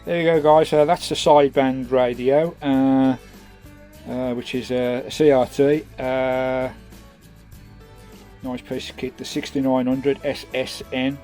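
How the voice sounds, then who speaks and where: British male vanlife vlogger, mid-pitched, casual and chatty, slangy matey delivery, music in the background. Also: level tone, a little slow, mellow. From United Kingdom. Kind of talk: casual hands-on video tour and fitting commentary. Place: inside a small campervan.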